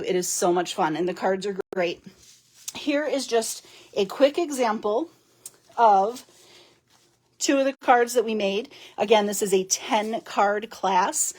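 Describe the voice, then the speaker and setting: Chatty, giggly woman with a raspy voice. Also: medium pitch. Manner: chatty, giggly, rambling with fillers. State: hoarse. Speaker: card-making demonstrator. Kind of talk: casual live craft demo.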